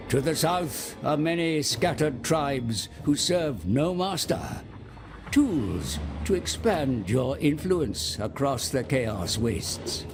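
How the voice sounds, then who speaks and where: An actor playing a scheming, servile mortal advisor, narrating a fantasy game cutscene in a measured, portentous, coaxing way. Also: male voice, medium pitch. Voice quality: gravelly.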